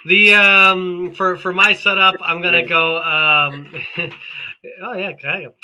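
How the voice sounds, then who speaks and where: A man's voice: sits high, fairly even intonation, slow pace, pushed and bright, a little hiss or hum, poor audio. A man from Portugal and Canada, chatting casually as a co-host on a podcast. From born in Portugal, moved to Canada. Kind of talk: casual chat.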